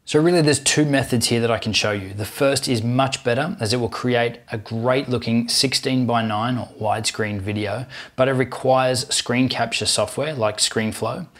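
A man in his thirties narrating a scripted how-to tutorial, giving clear step-by-step instructions as the host. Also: medium pitch, normal speed, few pauses, fairly bright tone.

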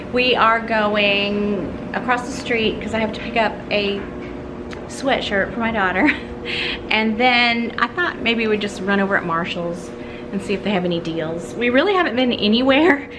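Middle-aged lady vlogging from a condo on her phone, kinda high voice, hissy audio. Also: unhurried. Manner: chatty, relaxed, laughs between sentences. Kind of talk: casual vlog talk to camera. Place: indoors in a vacation condo. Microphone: phone held at arm's length.

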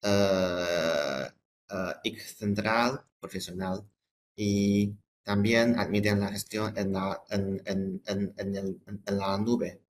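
A man presenting products in a webinar, halting and hesitant, often repeating words and breaking off phrases. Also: on the low side, steady tone.

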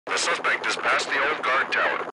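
An actor playing a police helicopter spotter in a video game, reporting tersely and procedurally over the radio. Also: male voice, mid-range voice, moderate pace, noisy background. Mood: focused. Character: male police helicopter spotter tracking suspects. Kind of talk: scripted police radio chatter.

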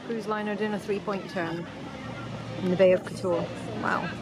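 A woman's voice: mid-range voice, fairly even intonation, moderate pace, music in the background, rough recording. A middle-aged woman chatting upbeat to camera as a sailing vlogger, outdoors on a boat and hill paths. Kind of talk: casual travel vlog chat. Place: outdoors on a sailboat and hillside paths. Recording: loud outdoor wind and boat noise.

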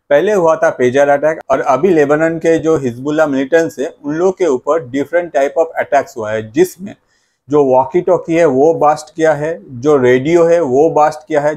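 An Indian man in his thirties speaks at a medium pitch into a lapel mic in a plain room. He explains the news informally, as a presenter talking straight to viewers, and drops English phrases into his talk. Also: a little mellow. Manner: code-switches with English phrases, repeats stock connectors. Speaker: YouTube presenter. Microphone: clip-on lapel mic.

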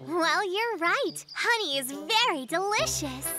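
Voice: very high voice, lively intonation, unhurried, over music. An actor voicing a sweet, friendly young fox in a children's cartoon. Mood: gentle.

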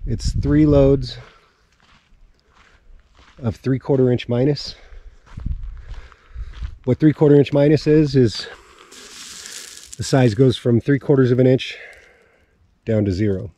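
A man's voice: medium pitch, takes it slowly, long pauses, dark tone. A man in his forties giving a casual walk-around vlog outdoors on open dry land, explaining his plans as he points things out. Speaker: homesteading vlogger.

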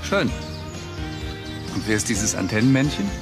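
A man's voice: fairly low pitch, normal speed, over music, natural voice. An actor playing a friendly father in a German children's drama. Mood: brisk, fatherly.

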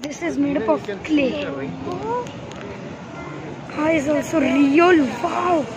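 A woman's voice: high-pitched, takes it slowly, noisy background. A woman giving a casual vlog tour, exclaiming and repeating short phrases into a handheld phone. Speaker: vlogger. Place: large dim public exhibition hall. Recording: loud music and crowd behind the voice.

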